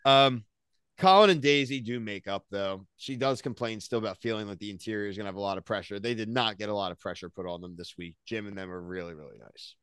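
A man's voice: fairly low pitch, quick pace, fairly bright tone, smooth, no room sound. An American man in his thirties chatting casually as a podcast co-host, with slangy, opinionated recaps. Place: small home room, on a video call.

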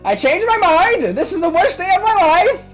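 A woman doing Papyrus, a loud excitable skeleton. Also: high-pitched, unhurried, soft background music, heavily acted. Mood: dismayed. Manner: shouty, excitable, dramatic. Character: loud, overjoyed young male skeleton.

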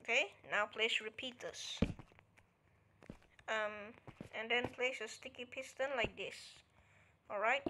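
A woman talks a viewer through a building tutorial at an unhurried pace. Her voice sits on the high side, and she counts out each item as she places it. A faint hiss of noise runs under the recording. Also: steady tone, sharp tone. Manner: counts steps aloud, checks in with "alright?". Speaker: tutorial creator. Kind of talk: casual game tutorial walkthrough.

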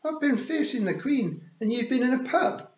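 Man doing a high-pitched, earnest young girl. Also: expressive, normal speed, echoey room, dull audio. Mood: indignant. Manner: questioning, earnest. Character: young girl, plain-spoken, questioning, indignant.

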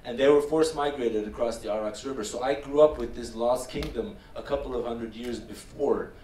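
A man's voice: mid-range voice, moderate pace, mellow. A middle-aged man from Armenia gives an earnest public lecture, speaking emphatically and piling up words in lists. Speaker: filmmaker giving a lecture. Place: wood-panelled lecture room, indoors. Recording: roomy hall, distant mic, faint noise.

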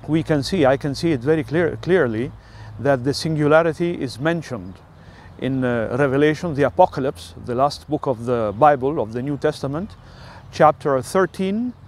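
An older man with an Italian accent, an Italian native speaker, talking through a rambling personal monologue with many filler sounds and pauses. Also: medium pitch, darkish tone.

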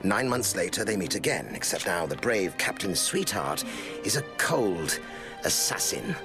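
An actor playing a sardonic special forces soldier in a game cutscene, dry, theatrical and mocking. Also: male voice, deep voice, big pitch swings, a little slow, heavily acted. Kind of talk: video game cutscene acting. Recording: loud music over the voice.

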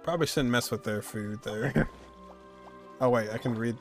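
A man's voice: medium pitch, moderate pace, soft background music. A man in his twenties giving casual, joking, slangy reaction commentary as he streams a video game. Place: indoors, streaming at a desk.

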